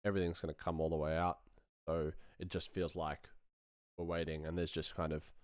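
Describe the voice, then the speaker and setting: Male sound designer, deep voice, close dry mic, informal thinking-aloud tutorial delivery. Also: level tone, fairly quick, clean tone, no echo. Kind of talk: casual live-stream tutorial.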